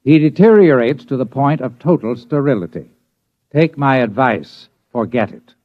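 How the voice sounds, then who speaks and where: A man's voice: medium pitch, lively intonation, moderate pace, dry recording, lightly acted. Man playing a grim, clinical dry-sounding official. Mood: grim, detached. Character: grim, clinical male official coldly warning a reporter. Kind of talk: old-time radio acting. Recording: muffled old radio recording.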